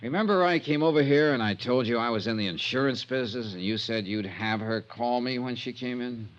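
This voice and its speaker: A man's voice: mid-range voice, a little fast, muffled recording, close to an everyday voice. An actor playing a tough, dogged insurance investigator in an old-time radio drama, terse and dry as he narrates and questions. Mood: accusing. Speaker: lead detective and narrator. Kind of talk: hard-boiled 1950s radio detective acting.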